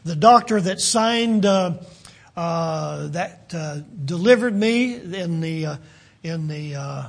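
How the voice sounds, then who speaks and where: An older man from West Virginia with a West Virginian accent, preaching a sermon in a halting way with many fillers and restarts. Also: fairly high pitch, animated, creaky. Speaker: pastor.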